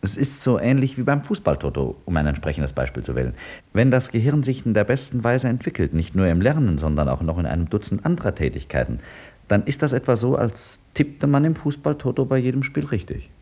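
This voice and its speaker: A man's voice: fairly low pitch, big pitch swings, a little fast, dark tone. A man playing an articulate, patient scientist in a 1950s German radio drama, explaining things formally. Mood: explaining patiently.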